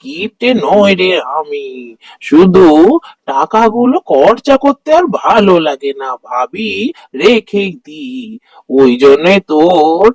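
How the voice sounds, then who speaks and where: A man's voice: high voice, very animated, slow, creaky, full character voice, poor audio. A man voicing a stingy, crafty old village father-in-law in a cartoon folk tale, grumbling, haggling and exclaiming. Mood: wry. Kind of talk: Bengali cartoon fairy-tale dialogue.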